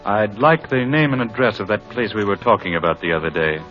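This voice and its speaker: Actor as earnest low-voiced husband in old radio drama. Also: male voice, lively intonation, fairly quick, close to an everyday voice. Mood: polite, earnest. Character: earnest, doting husband and adoptive father, gentle but exasperated. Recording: muffled old radio recording, music behind.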